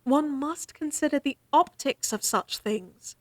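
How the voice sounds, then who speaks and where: A woman's voice: sits high, lively intonation, measured pace, no echo. An actress playing a proud young lady-in-waiting and court musician in a fantasy audio drama, formal and a bit exasperated. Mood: reserved. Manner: crisp, formal, slightly exasperated.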